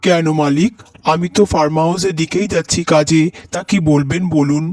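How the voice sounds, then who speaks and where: A man's voice: fairly high pitch, steady tone, normal speed, darkish tone, no echo, studio quality, natural voice. A man playing an earnest, worried poor labourer and husband in a melodramatic cartoon, with a pleading manner. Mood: uneasy. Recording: music behind the voice.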